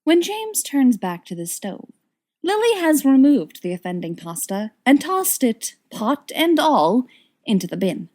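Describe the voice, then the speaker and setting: Female fiction reader, higher-pitched, expressive narration. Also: a little slow. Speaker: volunteer audiobook reader.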